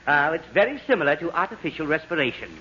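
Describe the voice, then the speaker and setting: Fussy, blustering male doctor, bright and sing-song, old noisy radio comedy sound. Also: fairly high pitch, lively intonation, fast talker. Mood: self-important. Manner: patronising, says "we" for the patient, blustering. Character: pompous, fussy male doctor, cheerfully brutal masseur. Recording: dull noisy vintage radio recording.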